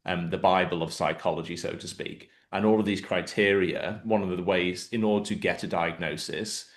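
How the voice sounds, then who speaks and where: A man with a Mancunian accent hosting a relaxed podcast interview, explaining terms for the listeners. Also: sits low, normal speed. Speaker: podcast host. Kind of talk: casual interview.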